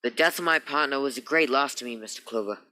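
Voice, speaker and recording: A man acts out a preening, slick business partner in an old radio mystery. The voice is very bright and forceful at a middling pitch. He talks with pompous, flowery flourishes. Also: fast, poor audio. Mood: wounded dignity. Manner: pompous, flowery, mannered delivery. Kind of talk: old-time radio crime drama acting.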